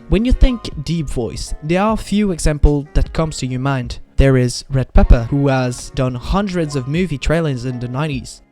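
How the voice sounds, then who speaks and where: A man's voice: on the high side, a little mellow. Man narrating a scripted video essay over music.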